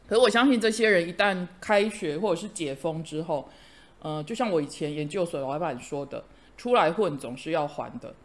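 A man's voice: a little high, animated, brisk. Earnest middle-aged man. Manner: earnest, encouraging, fond of idioms. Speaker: teacher and podcast host. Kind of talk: casual chat.

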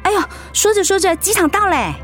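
An actress playing an outspoken, doting middle-aged wife in a radio drama, with a Taiwanese Mandarin accent. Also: female voice, extremely high pitch, big pitch swings, fairly quick, over music. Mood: gently persuasive.

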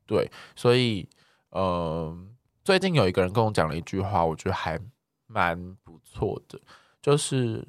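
Low-voiced Taiwanese man with swooping intonation. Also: sing-song, unhurried, no echo, studio quality. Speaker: podcast co-host. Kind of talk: casual chat.

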